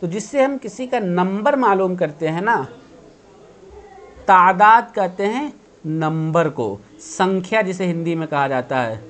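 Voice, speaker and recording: Male teacher, 30s, patient and repetitive explainer, home webcam lesson. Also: high voice. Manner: patient explaining, repeats key terms, asks and answers own questions. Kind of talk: online grammar lesson. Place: indoor room.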